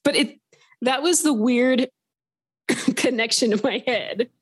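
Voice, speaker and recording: A woman's voice: a little high, takes it slowly, breathy edge. Chatty woman telling a rambling story. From Southern California. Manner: animated, rambling, heavy use of filler words. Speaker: caller sharing a paranormal story. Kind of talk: casual storytelling on a phone-in podcast.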